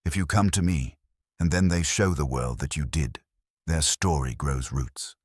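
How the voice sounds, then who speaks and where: A man's voice: rumbling low, unhurried, husky, no room sound, studio quality, heavily acted. A man playing a confident, wisecracking private investigator's assistant in an audiobook, wry and punchy. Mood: wry, brisk.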